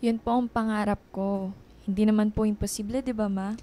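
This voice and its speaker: A woman's voice: medium pitch, fairly even intonation, brisk, mellow, natural voice. A woman playing a devoted, hardworking young daughter in her twenties in a Filipino radio drama, earnest and insistent. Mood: earnest, tender. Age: 20s. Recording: music behind the voice.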